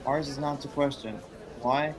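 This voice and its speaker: A man's voice: medium pitch, steady tone, moderate pace, a crowd in the background, natural voice. A man playing a wry, wisecracking, resigned husband in a radio drama, with a sardonic, joking delivery.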